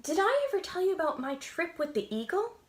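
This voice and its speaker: A woman's voice: very high voice, sing-song, a little fast, a little hiss or hum, pitched above the performer's own voice. A woman voicing a proper, chatty old male tortoise in a children's storybook. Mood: friendly. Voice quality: prim, old-fashioned phrasing. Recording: roomy echo.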